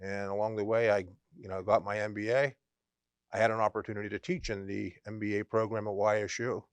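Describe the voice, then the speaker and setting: A man, speaking in a dry studio. He answers earnestly, often pausing and restarting his sentences. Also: on the low side, level tone, clean tone, dry recording. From Western Pennsylvania. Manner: earnest, with frequent ums and restarts. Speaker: MBA program director, guest. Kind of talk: conversational interview answers. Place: college TV studio.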